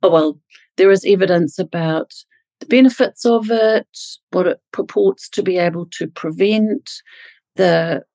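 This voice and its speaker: A woman's voice: lowish voice, unhurried, warm tone, no echo. A middle-aged woman with a New Zealand accent, a physiotherapist explaining her work thoughtfully in an interview. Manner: thoughtful, self-correcting explanations. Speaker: pediatric physiotherapist guest. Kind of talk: interview answers.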